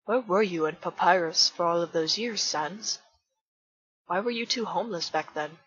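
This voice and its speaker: Woman as a low, husky, earnest gentleman bartender. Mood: wistful. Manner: formal, measured, careful diction. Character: Grillby, earnest, polite adult male bartender. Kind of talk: fan comic dub narration and acting. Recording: muffled husky recording with faint music.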